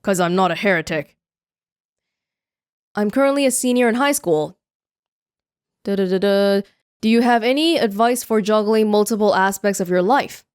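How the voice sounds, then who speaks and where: A woman's voice: medium pitch, moderate pace, long pauses, smooth, dry recording. A young woman from Canada answering viewer questions in a casual vlog, chatty and self-mocking, speaking close to the microphone. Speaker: YouTuber. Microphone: close studio mic.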